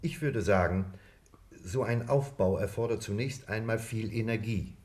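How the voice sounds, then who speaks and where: A man acting a sober, probing doctor in a 1950s German radio play, measured and precise. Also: on the low side, a little mellow, close to an everyday voice. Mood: sceptical, probing. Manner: measured, matter-of-fact, precise. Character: sober, probing male doctor challenging a theory.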